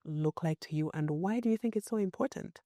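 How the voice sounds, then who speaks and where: A woman's voice: lowish voice, brisk, mellow, no room sound, clean studio sound. A woman from Zambia hosting an interview podcast, friendly, with many short affirmations. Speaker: podcast host. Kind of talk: casual interview talk.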